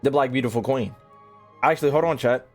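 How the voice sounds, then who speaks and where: A man's voice: medium pitch, fairly quick, soft background music. A man chatting casually to his livestream audience while gaming, slangy and profane, with mock exasperation. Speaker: video game streamer talking to chat.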